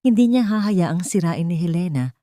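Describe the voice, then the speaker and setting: A woman tells a scripted drama as its narrator. Her voice is slightly low and recorded dry and close. The delivery is solemn and emotive, with a literary, storybook phrasing. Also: expressive, normal speed, mellow, no echo.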